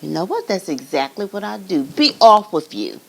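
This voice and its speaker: A woman voicing a mean, greedy male troll in a read-aloud storybook, with a loud, gruff, menacing delivery. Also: medium pitch, expressive, brisk, noisy background.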